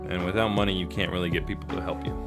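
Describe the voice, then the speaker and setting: A man's voice: deep voice, level tone, racing, over loud music. A man talking casually to viewers as a live streamer. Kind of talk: casual livestream chat.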